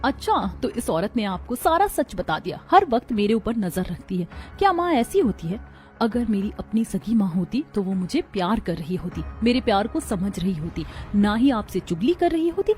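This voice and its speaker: A woman's voice: medium pitch, very animated, normal speed, darkish tone, soft background music, close to an everyday voice. A woman playing a hot-tempered, defiant young daughter in a cartoon family melodrama. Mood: angry, defiant. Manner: confrontational, indignant.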